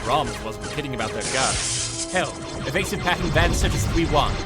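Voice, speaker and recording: A man's voice: medium pitch, animated, moderate pace, loud music behind the voice, lightly acted. A man playing a brash, gritty starship pilot in battle in an audio drama. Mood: tense.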